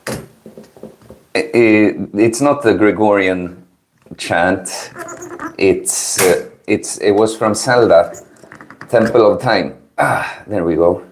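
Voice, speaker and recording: Slow, low-voiced man chatting on stream. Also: slight background noise. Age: early 30s. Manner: chill, mellow, low-key. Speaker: livestream host. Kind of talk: casual chat with live stream viewers.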